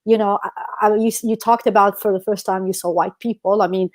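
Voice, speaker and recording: A woman's voice: medium pitch, fairly even intonation, a little fast. A woman co-hosting a podcast, telling personal stories in a casual, emphatic, conversational way full of "you know". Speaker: podcast co-host.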